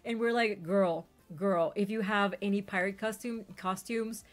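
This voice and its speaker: A woman streamer whose first language is not English, chatting excitedly with raiders and telling stories quickly. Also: mid-range voice, soft background music. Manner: excitable, bubbly, quick storytelling. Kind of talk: casual stream chat.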